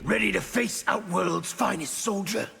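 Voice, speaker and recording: A man playing an arrogant, brutal army officer in a video game, gruff and contemptuous. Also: high voice, measured pace, noisy background, full character voice. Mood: contemptuous, boastful. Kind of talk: video game character dialogue.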